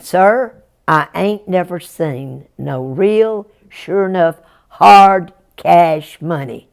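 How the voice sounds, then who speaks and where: A woman's voice: on the low side, creaky, full character voice. Woman doing an earnest farm boy. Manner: drawling backwoods talk, humble and polite.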